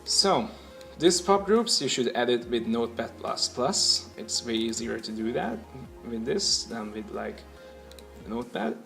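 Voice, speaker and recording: A man narrating a casual software tutorial, explaining things step by step as the host. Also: mid-range voice, animated, measured pace, creaky, faint music behind.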